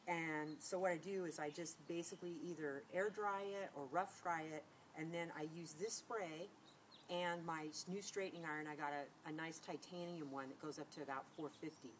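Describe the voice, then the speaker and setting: A woman's voice: sits low, steady tone, normal speed. A middle-aged woman, nasal from a cold, chatting casually to camera and rambling with many fillers and restarts. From California. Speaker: beauty vlogger. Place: outdoors against a sunlit wall. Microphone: camera on a tripod. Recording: noisy outdoor camera audio.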